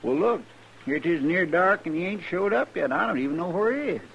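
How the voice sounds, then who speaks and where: Creaky, high-pitched simple Western sidekick. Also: male voice, animated, fairly quick. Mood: worried. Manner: plain, folksy, easily exasperated. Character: simple, anxious, loyal rustic marshal's helper. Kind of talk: old-time Western radio drama acting. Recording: dull, slightly noisy vintage radio recording.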